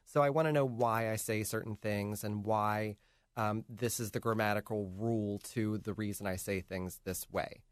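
A man's voice: lowish voice, steady tone, moderate pace, clear, resonant tone, dry recording. Chatty man, dry close sound. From North Jersey. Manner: chatty, laughs often, many fillers. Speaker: podcast host. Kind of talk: casual chat.

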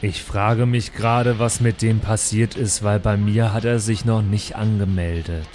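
A man playing a quiet, dry saint. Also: on the low side, steady tone, measured pace, soft background music, lightly acted. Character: calm male saint Petrus, gatekeeper of heaven.

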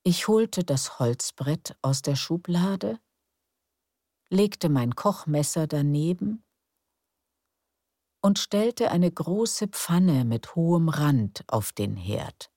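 A woman narrating a calm bedtime story in a slow, soft, soothing way. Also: deep voice, no room sound, clean studio sound.

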